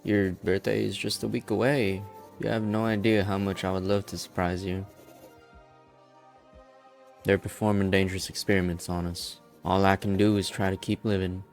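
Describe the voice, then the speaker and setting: A grim, world-weary leader of desperate outcasts, played by a man, speaks in a fairly low voice. He sounds disillusioned, with dramatic game-story delivery over music. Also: little pitch movement, moderate pace, vocal fry. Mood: somber, reflective. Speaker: amateur voice actor. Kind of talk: dramatic fan-dub voice acting.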